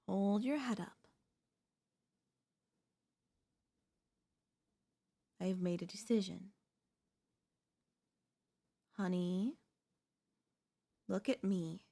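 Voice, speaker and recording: A woman playing a devoted, possessive wife in an ASMR audio roleplay, speaking haltingly with deliberate dramatic pauses. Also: medium pitch, creaky, dark tone, full character voice. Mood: quiet, eerily calm. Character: devoted wife, shocked then eerily composed, quietly possessive.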